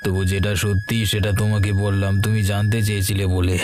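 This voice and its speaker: A man's voice: on the low side, flat delivery, a little fast, loud music behind the voice, natural voice. A man with a West Bengal accent voicing an honest, humble village man in a cartoon, with a deferential and hesitant manner. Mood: hurt, secretive. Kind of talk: cartoon voice acting.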